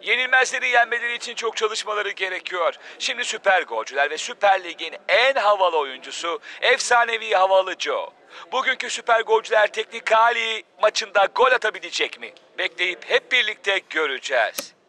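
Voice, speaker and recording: An actor playing an excitable football match commentator in a cartoon, announcing in a hyped, projected way. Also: male voice, sits high, fairly quick. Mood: excited.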